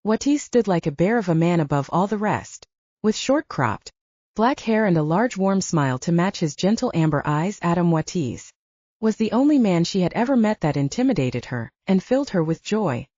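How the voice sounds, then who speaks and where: Female audiobook narrator for romance fiction: mellow tone, animated intonation, slightly muffled sound. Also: fairly low pitch, moderate pace, dry recording.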